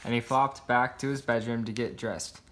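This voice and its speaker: A man's voice: mid-range voice, steady tone, normal speed, a bit bright. A man reading a children's picture book aloud, voicing the sound words expressively. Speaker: storybook reader.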